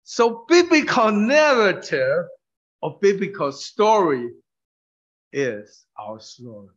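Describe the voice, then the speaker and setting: Male preacher, native Mandarin speaker, unhurried and halting, higher-pitched, testimony style, on a dull muffled recording. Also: very animated. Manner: halting, with frequent fillers and restarts. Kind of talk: testimony sermon through an interpreter.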